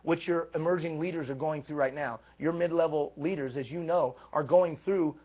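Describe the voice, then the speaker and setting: Husky-voiced man coaching over a tinny line. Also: on the high side, normal speed. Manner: energetic, emphatic, repetitive build-ups. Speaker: leadership coach. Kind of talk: live coaching talk to a group. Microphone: live stream microphone. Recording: tinny phone-like live stream.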